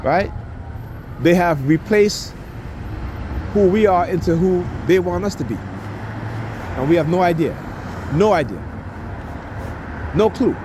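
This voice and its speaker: A man's voice: sits high, lively intonation, measured pace, long pauses. A man in his fifties speaking emphatically in rambling street talk, often tagging "right?" and stumbling over repeated words as he interviews passersby. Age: 50s. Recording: loud traffic and street noise.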